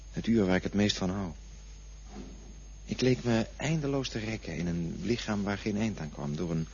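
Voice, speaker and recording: An actor playing a bewildered man who wakes in a coffin and haltingly describes what he saw. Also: fairly low pitch, a little mellow, lightly acted. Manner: dreamy, halting, searching for words. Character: bewildered man waking in a coffin after dying. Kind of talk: radio drama acting. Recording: dull lo-fi radio play recording, faint hiss.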